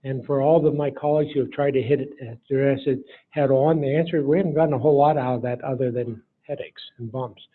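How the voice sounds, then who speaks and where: A man's voice: medium pitch, fairly even intonation, quick pace, few pauses, husky. A man giving a keynote talk and taking audience questions in an informal, folksy way, in short phrases. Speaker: keynote speaker. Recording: muffled lo-fi hall recording.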